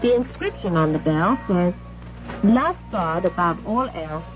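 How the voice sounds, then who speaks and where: A woman's voice: on the low side, animated, measured pace, over music. Woman announcer on dull 1960s shortwave radio. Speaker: radio announcer and program host.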